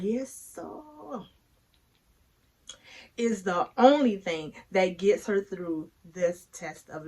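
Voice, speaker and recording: A woman hosting a read-aloud of her own book, chatty and playful, speaking emphatically with dramatic pauses. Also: a little high, husky. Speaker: author and read-aloud host.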